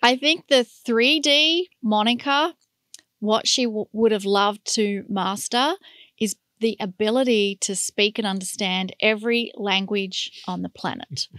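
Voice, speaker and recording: A middle-aged woman speaking as an interview guest, earnest and reflective in a soft-spoken way. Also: mid-range voice, unhurried, no room sound, studio quality. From born to Hungarian parents. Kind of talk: casual interview talk.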